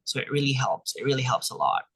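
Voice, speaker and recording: A man's voice: medium pitch, very animated, fast, a bit bright, husky. A man whose first language is Tagalog gives brief, easygoing answers as an airline ground agent on a panel. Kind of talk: casual panel talk.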